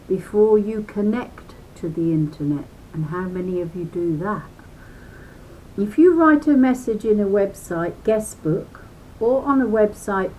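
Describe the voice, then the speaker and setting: A woman's voice: mid-range voice, a little mellow, a little hiss or hum, poor audio. A woman reading a children's book aloud in a teacherly, emphatic way, adding chatty asides and questions to her listeners.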